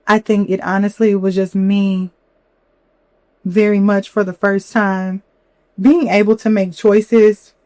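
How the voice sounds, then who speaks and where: Slightly hoarse young woman chatting candidly. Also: medium pitch, flat delivery, slow pace, a little mellow, dry recording. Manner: candid, chatty, many fillers and "you know". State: a little hoarse from a cold. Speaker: podcast host. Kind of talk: reflective solo podcast talk.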